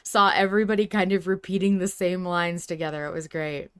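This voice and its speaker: Low-pitched woman, effusive casual podcast chat. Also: fairly quick, fairly bright tone, clear, resonant tone, no echo, clean studio sound. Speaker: podcast co-host. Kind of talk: casual fan discussion chat.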